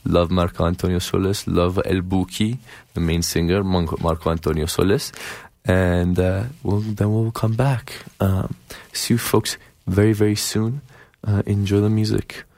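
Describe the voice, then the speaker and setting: Low gravelly man with a Persian accent. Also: fairly even intonation, moderate pace, a little mellow, no echo. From Iran. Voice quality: nasal. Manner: rambling, with many fillers and restarts. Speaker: radio host. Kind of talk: casual music radio chat.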